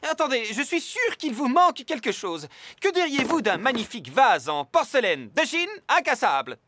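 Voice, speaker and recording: An actor playing a pushy, smooth-talking fox market trader in a children's cartoon dub. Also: male voice, very high voice, sing-song, normal speed, background noise. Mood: eager, persuasive. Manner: salesman's patter. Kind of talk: animated preschool cartoon dub. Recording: music behind the voice.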